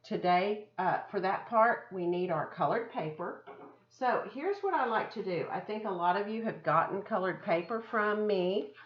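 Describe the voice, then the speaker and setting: A woman's voice: mid-range voice, expressive, normal speed. A woman art teacher giving children a step-by-step craft lesson in simple, encouraging words, often thinking aloud, on a dull lo-fi recording.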